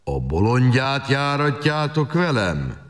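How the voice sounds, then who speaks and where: A man playing a huge, slow-witted but kindly giant in a storybook audio drama, full of exclamations and questions. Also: medium pitch, very animated, roomy sound, natural voice. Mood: blustering, curious.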